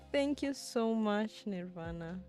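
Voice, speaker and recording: A young woman in her twenties, live-streaming as she edits and chatting with viewers, thinking aloud with soft laughs. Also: medium pitch. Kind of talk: livestream, talking to chat while editing.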